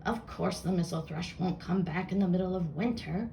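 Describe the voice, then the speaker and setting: Lady doing grumpy old gnome guy in a kids book, creaky, telling everyone off. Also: lowish voice, normal speed, warm tone, slight background noise. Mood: dismissive. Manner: gruff scolding.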